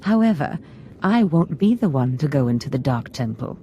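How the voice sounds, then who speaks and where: An actress playing a scheming, ambitious female Sith lord in a video game, speaking in a measured, imperious, portentous manner. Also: extremely low pitch, big pitch swings, dark tone, highs cut off, slight background noise. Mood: calculating, triumphant. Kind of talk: scripted video game dialogue.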